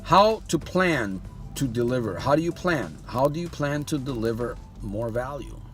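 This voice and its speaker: A man's voice: mid-range voice, lively intonation, moderate pace, faint music behind. A man giving a motivational monologue as a life coach. He keeps firing rhetorical questions at the listener and talks to them in a folksy way, calling them "my friend".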